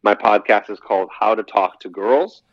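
A man's voice: on the low side, normal speed, dry recording. A man in his thirties, a podcast guest talking casually and at length, often repeating his phrases as he rambles. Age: 30s. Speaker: podcast guest, dating coach. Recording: dull, slightly lo-fi, dry sound.

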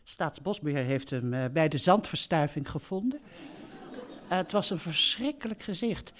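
Elderly mother, lively swooping intonation, doting and breezily matter-of-fact, comic stage radio play. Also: low-pitched, normal speed. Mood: fond, matter-of-fact. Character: chatty, blithe elderly mother running a holiday park. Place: theatre stage before a live audience.

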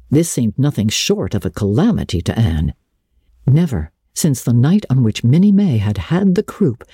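A woman's voice: sits low, mellow, slightly rough, dry recording, studio quality. A woman narrator giving a dramatic, scripted reading of classic literature.

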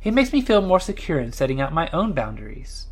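Frank female character, audio drama, lowish voice, very varied intonation, dry, deliberate. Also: very animated, husky, exaggerated voice. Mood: candid.

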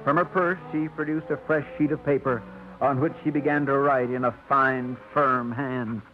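Male narrator, measured storyteller, 1950s radio drama, thin tinny broadcast over music. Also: medium pitch, steady tone.

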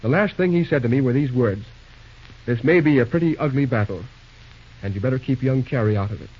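An actor playing an earnest, brisk, matter-of-fact railroad superintendent with an American accent in an old-time radio drama. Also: male voice, mid-range voice, natural voice. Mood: earnest, impatient. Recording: dull, noisy old radio recording.

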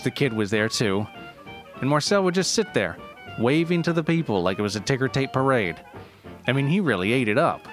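A man's voice: lowish voice, a bit bright. Man playing a grumbling old race director. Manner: rambling, conversational, grumbling. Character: exasperated elderly race director reminiscing about his brother. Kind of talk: acted interview reminiscence.

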